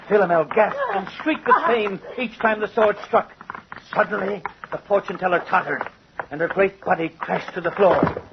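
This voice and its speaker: A man's voice: sits high, background noise. An actor playing a guilt-ridden craftsman confessing to a judge, earnest and dramatic, in old-time radio style. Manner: dramatic, earnest, deliberate phrasing. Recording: muffled old radio recording.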